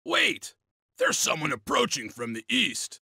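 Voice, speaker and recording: Raspy, excitable male wolf rebel. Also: on the high side, a little slow, dry recording, studio quality, exaggerated voice. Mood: excited, alert. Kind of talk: dramatic video game voice acting.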